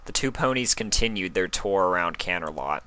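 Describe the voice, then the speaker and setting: A man's voice: low-pitched, animated, normal speed, pushed and bright. A man reading a story aloud as a scripted third-person narrator. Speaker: fan fiction reader, narrator.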